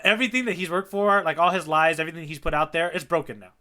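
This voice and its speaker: A man's voice: on the high side, fast talker, sharp tone. A man co-hosting a podcast, chatting casually and enthusiastically as he describes comic panels. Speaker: podcast co-host and tour guide.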